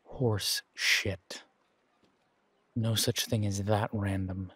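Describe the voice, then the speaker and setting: A man playing a menacing, wry pirate captain in a roleplay audio, speaking in a taunting, deliberate drawl with dramatic pauses. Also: lowish voice, fairly even intonation, a bit bright, breathy edge, no echo, full character voice. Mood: stern, probing. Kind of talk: scripted roleplay audio acting.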